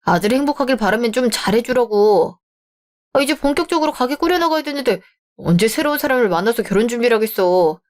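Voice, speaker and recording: A woman's voice: a little high, lively intonation, fast talker, lightly acted. A woman voicing a young man caught between his mother and his fiancée in a radio drama, placating and wheedling. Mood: frustrated.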